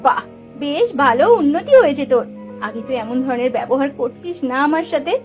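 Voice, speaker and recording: Woman acting a high-pitched, emotional village elder sister. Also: brisk, darkish tone, faint music behind, lightly acted. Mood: scolding. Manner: emotional, pleading and scolding. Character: burdened, caring eldest sister running a poor village household. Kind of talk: melodramatic cartoon voice acting.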